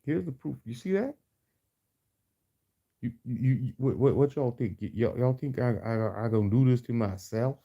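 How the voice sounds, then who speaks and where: Male vlogger, very dark, relaxed tone with gravelly creak, affected Southern US drawl, slangy off-the-cuff monologue. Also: mid-range voice, muffled recording. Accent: put-on Southern US drawl. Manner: slangy, repetitive, halting. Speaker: vlogger addressing followers. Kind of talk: rambling casual monologue to camera.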